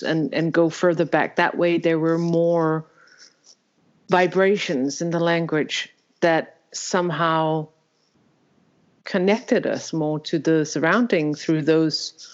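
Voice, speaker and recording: A Danish-accented woman hosts a podcast, speaking very slowly with hesitant restarts. Her voice is fairly low for a woman. The recording is dull and lo-fi. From Denmark. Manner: hesitant, many fillers and restarts. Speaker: podcast host. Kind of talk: interview conversation.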